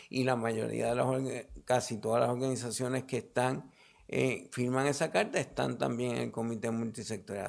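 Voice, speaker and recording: A man with a Puerto Rican accent speaking as a health official at a press briefing, halting and repeating words as he explains in a semi-formal way. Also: medium pitch, creaky, darkish tone.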